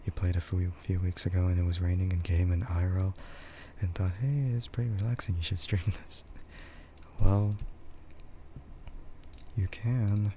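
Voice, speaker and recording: Deep voiced guy streamer, dark mellow tone, soft relaxed yapping to chat, kinda dull lofi audio. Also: normal speed, a little hiss or hum. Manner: relaxed, soft-spoken ASMR yapping, often chuckling. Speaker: streamer, VTuber host. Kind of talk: casual, rambling livestream chat with viewers.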